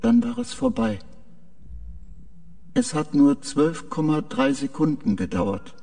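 A man playing a confused, haunted artificial spaceship mind in a science fiction audio drama, speaking haltingly in short hesitant answers. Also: fairly high pitch, huge pitch swings, creaky, dark tone, full character voice. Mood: dreamy, uneasy. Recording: distant-sounding, with music behind.

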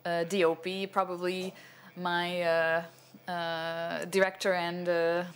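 Older man, halting lecture, fillers, stand mic on a cinema stage. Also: high-pitched, little pitch movement, fairly bright tone, smooth. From Venezuela. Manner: hesitant, many fillers and restarts, gestures broadly. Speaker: cinematographer giving a masterclass. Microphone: stand microphone.

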